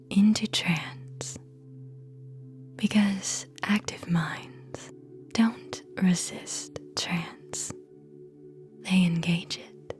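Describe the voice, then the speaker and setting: A woman whispers a guided sleep meditation in a lowish register. She moves extremely slowly, leaving long pauses between short, soothing, hypnotic phrases. It is intimate ASMR delivery throughout. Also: darkish tone.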